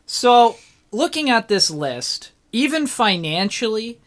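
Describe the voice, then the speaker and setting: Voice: mid-range voice, sing-song, slow pace, a bit bright. A host talking casually about a review topic, teasing and opinionated, with some swearing.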